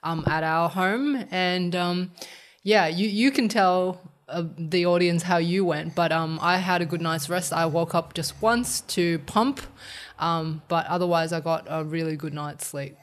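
A young woman with a slightly raspy, run-down voice, co-hosting a relaxed chat podcast. Her voice sits a little low, and she talks loosely, with plenty of ums. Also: measured pace. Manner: chatty, with many ums. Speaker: podcast co-host. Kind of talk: casual chat.

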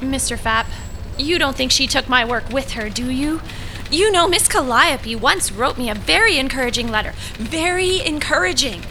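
An intense oddball lady writer, really high voice, insistent n dramatic, radio play, noisy background. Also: animated, moderate pace, pushed and bright, heavily acted. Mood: earnest, proud. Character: intense, needy, eccentric aspiring woman writer. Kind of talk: audio drama acting.

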